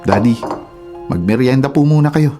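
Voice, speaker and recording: A man's voice: medium pitch, lively intonation, moderate pace, dark tone, soft background music, natural voice. A man acting as an indignant, righteous husband in a Filipino radio drama. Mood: respectful.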